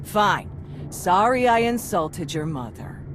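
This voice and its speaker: An actress playing a brash, sarcastic young engineer and thief in a video game, sassy and defiant. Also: female voice, lowish voice, sing-song, slow, background noise. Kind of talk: video game cutscene acting.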